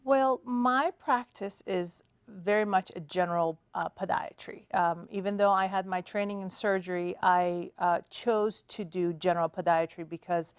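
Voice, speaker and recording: A middle-aged woman from Iran speaks as a guest in a television studio interview. Her pace is measured and she fills gaps with "uh" and "um". The sound is close and dry, with no room around it. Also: fairly low pitch, steady tone, clear, resonant tone, no room sound. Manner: measured, many "uh" and "um" pauses. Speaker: podiatrist guest. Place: TV studio set.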